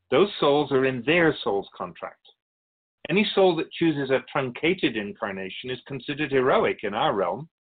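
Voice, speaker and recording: A man playing an otherworldly keeper of souls in a radio drama, speaking in a measured, formal, philosophical way with patient wisdom. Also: mid-range voice, lightly acted. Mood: gentle, reverent. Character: otherworldly male keeper of souls, wise, patient, solemn messenger. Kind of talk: radio drama interview acting. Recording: dull lo-fi audio.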